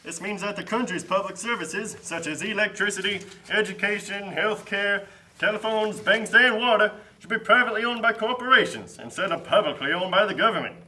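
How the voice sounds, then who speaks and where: A man's voice: high voice, a little fast, sharp tone, poor audio. A man playing a smug, pushy, upbeat salesman type in a scripted puppet show.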